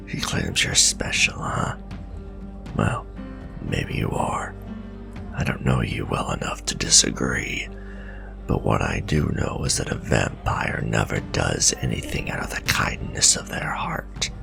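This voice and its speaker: An ancient werewolf who hunts vampires, grim and distrustful, in a gothic ASMR roleplay. His breathy growl is thick with gravel and moves at a slow, deliberate pace. Music plays clearly behind his scornful warnings. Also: extremely low pitch, expressive, heavily acted. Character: gruff centuries-old male werewolf vampire hunter, bitter and distrustful. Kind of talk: dramatic ASMR fantasy roleplay. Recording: voice over clear background music.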